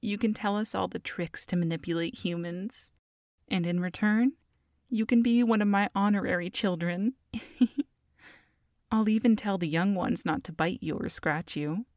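A woman's voice: medium pitch, measured pace, darkish tone, no echo, clean studio sound, lightly acted. A woman voicing a feisty, scheming goblin mother in an audio roleplay, sassy and haughty as she talks to a captive. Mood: smug, playful. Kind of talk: ASMR audio roleplay monologue.

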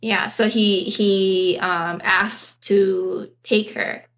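A woman hosting a podcast, telling stories in a casual, halting, conversational way. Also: medium pitch, steady tone, a bit bright, poor audio. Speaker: podcast host running a quiz on fairy tales. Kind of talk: casual storytelling chat.

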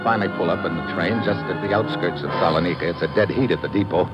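An actor playing a tough, wry, wisecracking adventurer who narrates an old radio drama in clipped phrases. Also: male voice, low-pitched, fast, lo-fi sound, lightly acted. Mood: clipped, suspenseful. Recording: vintage radio with loud music behind.

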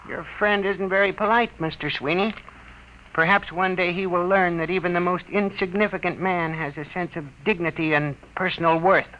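Pompous little crackpot professor, sharp-voiced. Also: male voice, high voice, fairly even intonation, moderate pace, a little hiss or hum, low-quality recording. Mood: wounded, indignant. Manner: pompous, formal, long-winded. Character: small, proud, resentful crackpot inventor professor. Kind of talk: old-time radio comedy drama acting. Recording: old radio recording.

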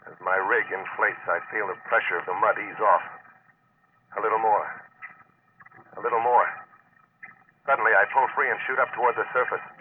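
An actor playing a stubborn, grimly determined deep-sea diver in an old-time radio suspense drama, speaking and narrating in a terse, intense, hard-boiled way. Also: male voice, fairly even intonation, normal speed, pauses often, natural voice. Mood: tense, urgent. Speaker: lead actor and first-person narrator. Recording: noisy, tinny old radio recording.

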